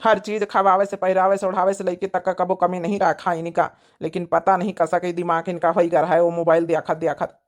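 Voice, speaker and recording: A woman's voice: lowish voice, flat delivery, a little fast, voice pushed down, lightly acted. A woman voicing an earnest, self-justifying village husband in a cartoon, with an Awadhi accent. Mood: aggrieved.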